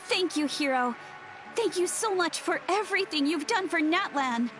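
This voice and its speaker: A woman's voice: very high voice, lively intonation, normal speed, fairly bright tone, faint music behind. A woman playing an earnest, admiring young fan of a hero in an anime game. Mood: grateful.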